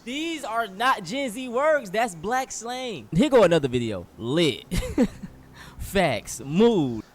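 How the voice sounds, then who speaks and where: A man's voice: high voice, sing-song, slow. A man in his twenties giving casual, slangy, opinionated reaction commentary in an emphatic way. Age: 20s. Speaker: reaction video host.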